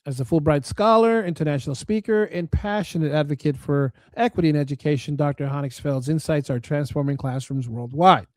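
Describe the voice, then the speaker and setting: A man's voice: on the high side, a little fast, few pauses, darkish tone, dry recording. A man hosting an interview show, talking earnestly and often restarting mid-sentence. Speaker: host. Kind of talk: interview and sponsor talk.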